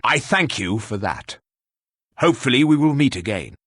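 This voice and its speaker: A man voicing a stern, humorless, gruff hired warrior in a video game, with a husky voice and a curt, scornful way of speaking. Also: medium pitch, big pitch swings, moderate pace, a bit bright, no room sound, clean studio sound. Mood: formal.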